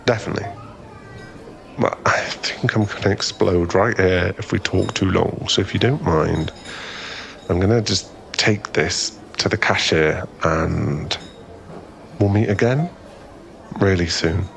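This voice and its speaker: A man playing a flustered, smitten young man in an ASMR boyfriend roleplay, halting and stammering as he rambles nervously to a crush. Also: low-pitched, creaky, fairly bright tone, slight background noise, exaggerated voice. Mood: smitten, earnest.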